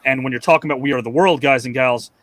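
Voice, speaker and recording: Male moderator on a video call, fast and emphatic, earnest tone. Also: mid-range voice, steady tone, a bit bright. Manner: earnest, emphatic, addresses the guests. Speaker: debate moderator. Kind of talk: upbeat hosting.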